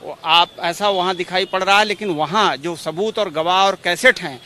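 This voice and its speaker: A man's voice: high voice, normal speed, pushed and bright. A man, a lawyer giving formal, repetitive explanations in a press interview. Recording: outdoor street noise behind.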